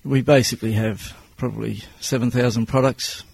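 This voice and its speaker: A man in his sixties with an Australian accent, answering interview questions as a business owner in a plain-spoken, measured way. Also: medium pitch, fairly even intonation, creaky, a little mellow, a little hiss or hum. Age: 60s.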